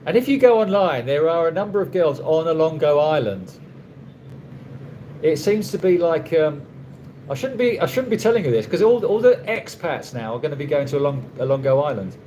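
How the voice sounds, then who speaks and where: A man rambles through viewers' questions on a live stream, his voice sitting slightly high for a man. He trips over his own phrases and restarts often, with a dry, wry humor. A faint hum of background noise runs under the recording. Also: very animated, mellow, lo-fi sound. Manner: rambling, halting, with many restarts and fillers. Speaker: vlogger answering live chat questions. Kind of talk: casual live-stream chat. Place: condo room.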